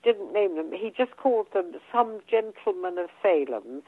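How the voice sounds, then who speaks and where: A woman's voice: medium pitch, animated, moderate pace, breathy edge, no room sound. An older English woman talking as a guest author, hesitant with many ums and restarts, and losing her voice. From England. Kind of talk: casual interview talk. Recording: tinny phone line.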